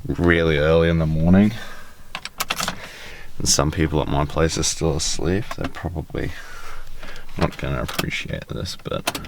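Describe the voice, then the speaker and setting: An Australian man talking casually to camera for his vlog, sounding sick with a rough voice. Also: very deep voice, unhurried, pauses often. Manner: chatty, self-deprecating, easygoing. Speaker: vlogger. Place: early morning outdoors and in a car. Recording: noisy outdoor vlog audio with vehicle sounds.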